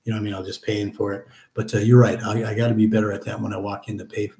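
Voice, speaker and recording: A middle-aged man hosting a casual live chat, reading viewer comments aloud and answering them in a relaxed, chatty way. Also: fairly low pitch, racing, gravelly. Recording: echoey room.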